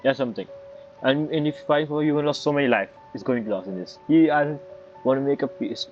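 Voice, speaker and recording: A man's voice: mid-range voice, darkish tone. Guy streaming a game, informal stop-start talk, bit dull mic, music in back. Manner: halting, informal. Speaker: gaming streamer. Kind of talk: casual gameplay commentary.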